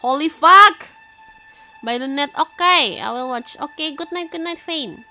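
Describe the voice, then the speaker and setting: A woman with an Indonesian accent, a native Indonesian speaker, streaming as a VTuber, reading a visual novel aloud and chatting with viewers, expressive and quick to react. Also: high-pitched. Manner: expressive, reacts loudly, switches quickly between reading and chat. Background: game music.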